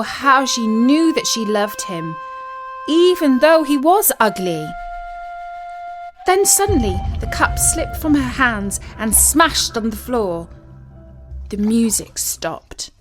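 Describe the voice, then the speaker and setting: Slow, expressive woman storyteller. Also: fairly high pitch. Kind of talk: scripted dramatic story narration. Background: music.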